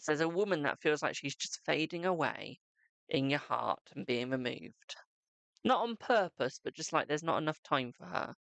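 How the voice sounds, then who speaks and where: A man's voice: fairly high pitch, lively intonation, normal speed, vocal fry, a bit bright, dry recording. A man giving a live-stream psychic tarot reading, chatting to viewers and calling them "my darling".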